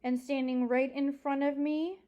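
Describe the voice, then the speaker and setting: Woman voicing a dramatic, high-pitched elderly lady librarian. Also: little pitch movement, dark tone, lo-fi sound. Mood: suspenseful. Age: older. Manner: emphatic, drawn-out key words, folksy repetitions. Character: elderly Southern lady librarian, proud, dramatic, wistful storyteller.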